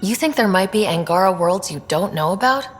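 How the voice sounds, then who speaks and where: A woman's voice: medium pitch, lively intonation, a little fast, a little hiss or hum, natural voice. An actress playing a confident, friendly young woman space explorer in a video game, earnest and easygoing. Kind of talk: video game dialogue acting. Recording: long reverberant space, faint music.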